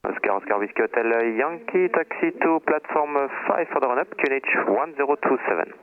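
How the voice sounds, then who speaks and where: A man's voice: mid-range voice, lively intonation, normal speed. A man speaking clipped air traffic phraseology over an aviation radio. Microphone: radio transmission. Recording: narrow, noisy aviation radio transmission.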